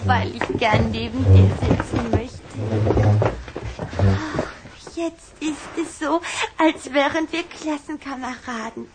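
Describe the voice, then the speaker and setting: A woman playing an affectionate, excitable little vampire girl in a children's audio drama, coy and eager in manner. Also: high-pitched, takes it slowly, very noisy, exaggerated voice. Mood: smitten, coy.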